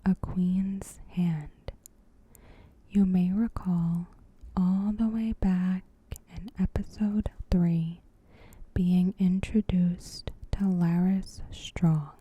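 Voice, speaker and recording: A woman softly whispering a scripted recap as an ASMR host, in a gentle, breathy, measured way. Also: on the low side. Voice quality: breathy, whispered soft speech. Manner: gentle, unhurried, evenly measured.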